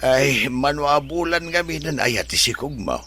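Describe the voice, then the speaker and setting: Blunt father, bright and swooping. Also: medium pitch, expressive, normal speed. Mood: scolding. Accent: Ilocano. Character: blunt nagging father, soon-to-be grandfather. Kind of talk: Ilocano radio drama acting.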